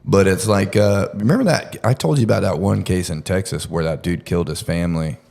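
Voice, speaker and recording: A man's voice: sits low, fairly quick, gravelly, a little mellow. A man chatting casually about true crime in a blunt, profane way.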